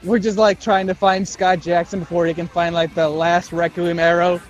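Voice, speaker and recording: A young man with a high-pitched voice riffs casually as a podcast co-host. He jokes, swears and slips into little bits of acted dialogue, with music playing behind him. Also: level tone, moderate pace, muffled recording. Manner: jokey, profane, acts out bits of dialogue. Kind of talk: casual improvised fan banter.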